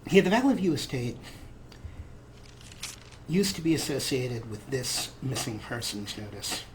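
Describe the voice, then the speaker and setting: A man's voice: medium pitch, big pitch swings, measured pace. Man, mid-range voice, casual let's play commentary, wry humor, game ambience and noticeable background noise. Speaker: gaming video host.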